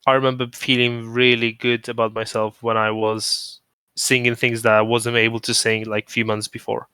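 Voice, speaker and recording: A man's voice: mid-range voice, level tone, moderate pace, sharp tone, clear, resonant tone, dry recording. A man chatting casually on a stream, rambling with restarts, who moved to the UK from abroad. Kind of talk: casual chat.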